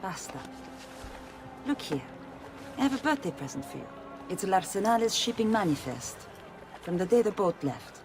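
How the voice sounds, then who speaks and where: A sly, coaxing Italian woman in a video game. She has an Italian accent and a medium-pitched, swooping delivery, with music clearly playing underneath. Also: very animated, normal speed, long pauses. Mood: reassuring, coaxing. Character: sly, teasing, warm Italian woman confidante. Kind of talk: video game cutscene acting.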